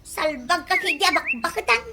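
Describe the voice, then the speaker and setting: A woman's voice: very high voice, animated, normal speed. A woman with an Ilocano accent playing a touchy, boastful, whiny woman in a comedy radio drama. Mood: indignant, whiny. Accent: Ilocano, from the Philippines.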